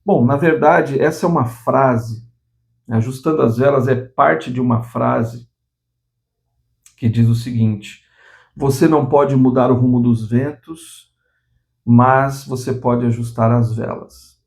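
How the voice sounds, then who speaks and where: An older man in his sixties speaks earnestly to his viewers, giving a motivational talk on his own channel. His voice sits at an ordinary pitch with a gravelly, creaky edge. He often restarts phrases and checks in with small tag questions. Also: steady tone, unhurried, darkish tone. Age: 60s. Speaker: channel host.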